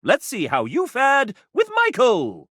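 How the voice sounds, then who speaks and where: Flamboyant, sassy gentleman narrator, wildly swooping. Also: very high-pitched, huge pitch swings, slow, breathy edge, no room sound, clean studio sound, heavily acted. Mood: delighted, grandiose. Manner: flamboyant, arch, mock-genteel, sardonic. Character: sassy, theatrical gentleman game-show style narrator of a dating game. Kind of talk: theatrical scripted video game narration.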